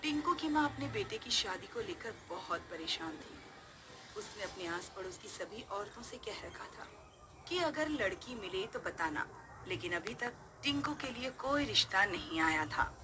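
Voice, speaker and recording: A woman's voice: mid-range voice, animated, unhurried, background noise. A woman reading scripted narration of a horror story as its narrator. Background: music.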